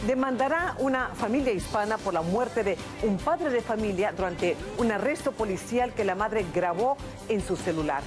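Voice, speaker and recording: A woman's voice: mid-range voice, expressive, moderate pace. A woman anchoring TV news, reading scripted headlines in a studio. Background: music bed.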